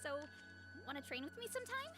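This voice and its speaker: A woman voicing an energetic, hearty young girl in a video game, chirpy and earnest. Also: high-pitched, fast talker. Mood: upbeat, eager. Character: energetic, hearty young girl who loves food, training and orchards. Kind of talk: scripted video game character acting. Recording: clean voice under loud game music. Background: game music.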